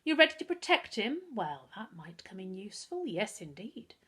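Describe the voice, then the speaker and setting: A woman voicing a sinister, scheming headmaster in a children's story, speaking in a soft murmur. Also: mid-range voice, sing-song, normal speed, breathy edge, full character voice. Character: sinister smiling boy's school headmaster.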